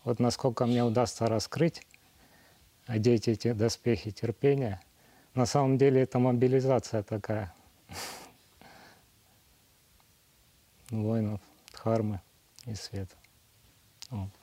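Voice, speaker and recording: Thirties male, mellow voice, earnest retreat testimony. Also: mid-range voice, steady tone, slow pace, long pauses, no room sound. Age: 30s. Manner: earnest, fond of allegory. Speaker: retreat participant. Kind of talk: personal testimony.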